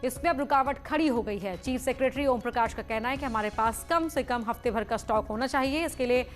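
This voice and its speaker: A woman's voice: fairly high pitch, a little fast, faint music behind. A woman news presenter reading a scripted bulletin in a formal, emphatic newsreader manner.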